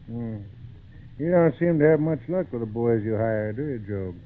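An actor playing an old, plainspoken, protective wagon driver with folksy rustic speech in an old radio drama. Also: male voice, medium pitch, animated, normal speed, close to an everyday voice. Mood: dry, needling. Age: older. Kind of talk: 1940s radio acting. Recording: noisy, tinny old radio broadcast.

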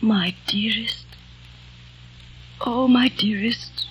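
A woman in a 1940s radio drama playing a gentle young eighteenth-century lady in love, speaking softly and yearningly. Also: on the high side, steady tone, drawn-out words, muffled recording, a little hiss or hum. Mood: tender. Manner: soft, yearning, pleading.

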